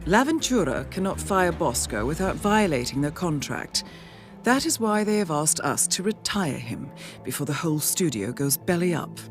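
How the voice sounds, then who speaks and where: An actress playing a composed, formal intelligence handler who gives measured, precise mission briefings in a video game. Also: female voice, low-pitched, big pitch swings. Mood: composed, businesslike. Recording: game cinematic with loud music bed. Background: loud music.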